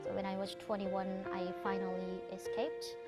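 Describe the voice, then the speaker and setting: A woman in her late twenties from North Korea, with a Korean accent, telling a personal story to an audience in halting non-native English. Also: medium pitch, little pitch movement, over loud music. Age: late 20s. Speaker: speaker giving a personal testimony.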